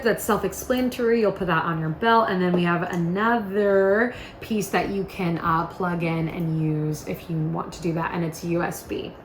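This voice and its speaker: A woman's voice: mid-range voice, fairly bright tone. A woman giving a casual product demonstration in an upbeat, informal, chatty way. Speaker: product presenter. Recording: echoey room with faint noise.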